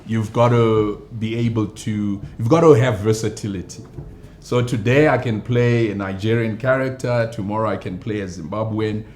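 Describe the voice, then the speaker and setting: A man, a pastor and actor, answering interview questions in a measured, hesitant way with many fillers. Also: fairly low pitch, steady tone, darkish tone. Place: studio. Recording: echoey studio with faint noise.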